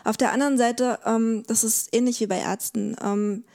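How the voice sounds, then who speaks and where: A woman speaking as an expert guest in a conversational interview, explaining things haltingly and repeating words as she thinks. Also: fairly high pitch, fairly even intonation, vocal fry, a little mellow. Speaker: podcast guest, legal expert.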